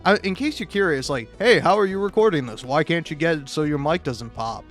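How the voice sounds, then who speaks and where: A young man telling casual, self-deprecating stories in an animated, rambling monologue, recorded on a modest home mic. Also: on the high side, a little fast. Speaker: video creator. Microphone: cheap mic, home recording. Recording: amateur mic with occasional plosive pops. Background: music.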